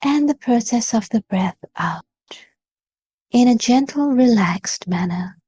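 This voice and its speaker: A woman leading a guided meditation in a gentle, calm and encouraging manner, leaving pauses between phrases. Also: on the high side, husky, dry recording, studio quality. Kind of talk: guided body scan meditation.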